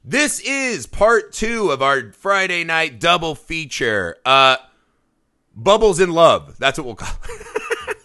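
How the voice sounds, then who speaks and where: Bright voice, male, slightly high pitch, podcast host, excitable chat. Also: slow. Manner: excitable, laughs often, frequent restarts and swearing. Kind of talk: casual film podcast chat.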